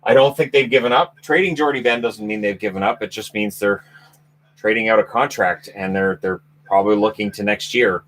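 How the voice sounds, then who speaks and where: A man's voice: fairly low pitch, fairly quick, a bit bright, rough recording. A Canadian man hosting a casual live chat about hockey news, rambling and self-mocking. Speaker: hockey channel host.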